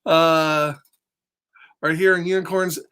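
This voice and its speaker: A middle-aged man hosting a live children's story time, reading aloud with animated, playful asides and questions to his listeners. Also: a little high, slow, smooth. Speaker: storybook read-aloud host. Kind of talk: live children's story time, chatting with viewers between lines of the book.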